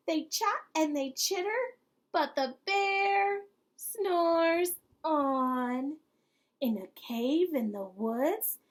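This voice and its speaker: A woman reading a picture book aloud as a preschool teacher, expressive and pausing to put questions to young listeners. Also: extremely high pitch. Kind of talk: expressive children's storytime read-aloud.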